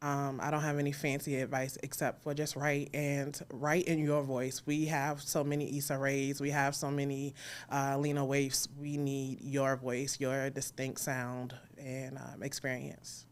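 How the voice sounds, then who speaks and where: A woman's voice: rumbling low, little pitch movement, unhurried, clean tone. An American woman chatting informally as a guest in a live interview. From Alexandria, Virginia, United States. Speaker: interview guest, audio drama creator. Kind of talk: casual live interview talk.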